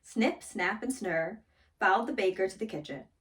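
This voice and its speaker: A woman reading a children's story aloud in an expressive, dramatic storytelling manner. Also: mid-range voice, normal speed, far from the mic. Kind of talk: scripted storybook read-aloud.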